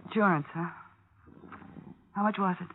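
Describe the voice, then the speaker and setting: An American actress playing a bitter, lonely widow, a tough former waitress, in 1950s radio drama with slangy, weary speech. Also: female voice, mid-range voice, moderate pace, natural voice. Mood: bitter, confiding. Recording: tinny, noisy old radio broadcast.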